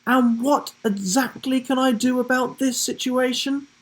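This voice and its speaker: A man's voice: very high-pitched, fairly even intonation, unhurried, pitched above the performer's own voice. A man voicing a plucky, sardonic girl adventurer in a children's storybook, with sassy exclamations. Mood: sarcastic, exasperated.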